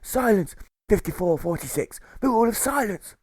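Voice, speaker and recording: A man voicing a gruff, volatile old sailor turned jailer in an audiobook, with a stage pirate accent and blustering, exclamatory delivery. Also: high-pitched, expressive, moderate pace, darkish tone, dry recording, pitched above the performer's own voice. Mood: barking.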